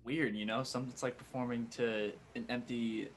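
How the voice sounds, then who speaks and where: A man's voice: mid-range voice, little pitch movement, normal speed, a little hiss or hum. An American man hosting a podcast, chatting casually about sports as he interviews a guest. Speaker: podcast host.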